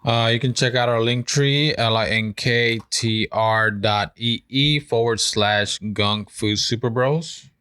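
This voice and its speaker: A man's voice: mid-range voice, steady tone, takes it slowly, hardly any pauses, bright, forceful tone, clear, resonant tone. A man co-hosting a podcast, giving hesitant, easygoing opinions. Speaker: podcast co-host. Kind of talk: casual podcast chat.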